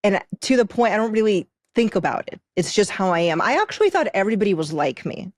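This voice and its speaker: A woman's voice: mid-range voice, sing-song, quick pace, dry recording, studio quality. A woman from Moldova who moved to the US as a child, explaining her practice as a podcast guest in an emphatic way with check-in questions. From Moldova, moved to US at eight. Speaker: podcast guest, intuitive soul contract reader. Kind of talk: conversational explaining in an interview.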